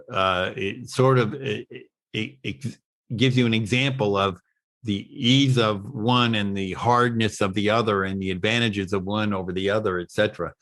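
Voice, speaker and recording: A man giving a technical webinar explanation as an instructor, in a halting, stop-start way with repeated words. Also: on the low side, a bit bright. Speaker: instructor presenting at an online summit.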